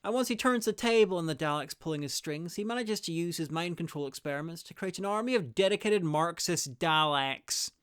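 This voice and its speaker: A presenter giving a scripted review narration in a smooth, clear voice. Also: medium pitch, lively intonation, a little fast, hardly any pauses, a bit bright.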